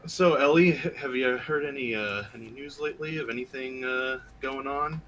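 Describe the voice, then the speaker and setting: A man playing a tabletop game, speaking in character with a halting, stammering delivery. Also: mid-range voice, fairly bright tone, slight background noise, low-quality recording. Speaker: player. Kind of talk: in-character role-play.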